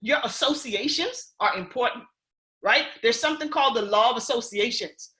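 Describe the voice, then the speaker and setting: Bahamian lady with a high bright voice hyping up a call, lots of "right?", kinda echoey room. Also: normal speed. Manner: animated, keeps asking "right?" and "y'all", keeping it real. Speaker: speaker on a recruiting call. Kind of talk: motivational pitch on a conference call.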